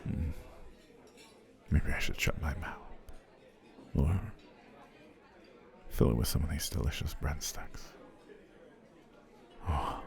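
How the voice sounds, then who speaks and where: A very deep, dark-toned potion seller, voiced by a man, speaks slowly and formally, heaping flattery on his dinner date. His gravelly voice often sinks into close, soft whispers, in the intimate manner of an ASMR roleplay. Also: a faint crowd, full character voice. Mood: persuasive. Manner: formal, courtly, flattering speech. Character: smooth-talking male potion seller, formal and obsessive.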